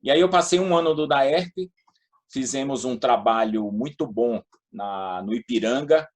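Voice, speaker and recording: A man's voice: mid-range voice, a little slow. A middle-aged or older man with a Brazilian accent from São Paulo state, talking casually and anecdotally as an interview guest. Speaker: guest, former public housing and utilities official.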